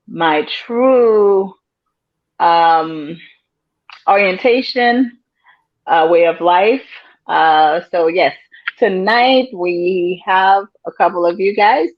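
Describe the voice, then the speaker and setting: Slow, halting woman host on a call. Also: lowish voice. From Haiti. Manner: slow, hesitant, many fillers. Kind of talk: casual panel chat over video call. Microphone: video call. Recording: dull lo-fi call audio.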